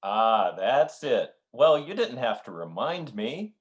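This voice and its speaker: A man's voice: mid-range voice, very animated, slow pace, lo-fi sound. A man playing a dry, cynical, teasing husband in an old-time radio comedy, with a wry, grumbling delivery.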